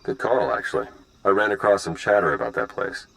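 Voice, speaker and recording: A wry male spy from a video game, with a deep voice. He talks casually and matter-of-factly, in short clipped phrases. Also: sing-song, brisk, vocal fry, fairly bright tone, smooth, full character voice, rough recording. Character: laid-back, wry male spy ally.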